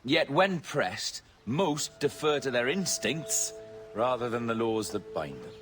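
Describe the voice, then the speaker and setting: Brief: a cynical old Templar, mid-pitched, preachy, with score behind. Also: male voice, very animated, unhurried, a bit bright, soft background music. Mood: musing. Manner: philosophizing, condescending. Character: cynical old male Templar, self-styled old wolf. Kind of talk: video game cutscene acting.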